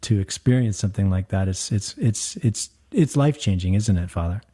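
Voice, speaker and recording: A man's voice: fairly low pitch, expressive, a little fast, dark tone, slightly rough. A man, a priest, hosting a call-in radio talk show in a friendly, chatty way, with frequent fillers. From United States.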